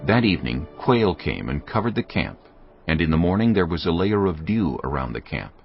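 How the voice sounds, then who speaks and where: A very low, gravelly man narrates a scripture drama. His reading is animated in pitch and clearly scripted. Faint music plays under slightly dull audio. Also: fairly quick.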